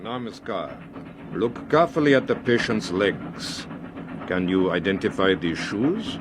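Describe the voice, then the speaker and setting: An actor playing a sinister, smooth doctor in a radio drama, speaking with measured, courteous menace. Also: male voice, deep voice, expressive. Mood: menacing. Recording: clear background train noise.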